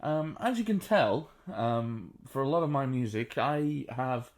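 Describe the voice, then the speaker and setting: A man with a baritone voice, sitting on a sofa at home and casually explaining how to play the banjo, with plenty of ums and uhs. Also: animated. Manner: chatty, unhurried, frequent ums and uhs. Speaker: banjo teacher. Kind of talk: relaxed spoken music tutorial.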